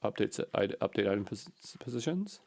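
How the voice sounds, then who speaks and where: A man's voice: fairly low pitch, big pitch swings, brisk, creaky, darkish tone. A man talking informally to himself as he works through code in a casual screencast, muttering as he troubleshoots. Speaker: programmer recording a coding session. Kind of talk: casual think-aloud screencast narration.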